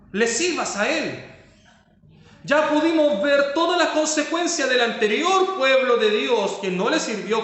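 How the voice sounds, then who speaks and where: Male pastor, high voice, emphatic sermon delivery, heavy room echo. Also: a bit bright. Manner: preaching with rising emphasis and rhetorical questions.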